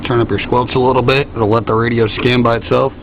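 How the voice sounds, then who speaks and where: A man with a mid-pitched voice talks casually through a radio demonstration in folksy trucker slang. The recording is dull and lo-fi, with very loud noise behind him. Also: little pitch movement, fast. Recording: muffled, loud noisy radio hiss.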